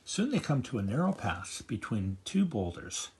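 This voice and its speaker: An older man reading a children's picture book aloud in a gentle, grandfatherly storytelling manner. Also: medium pitch, sing-song, normal speed, background noise. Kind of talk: children's read-aloud narration.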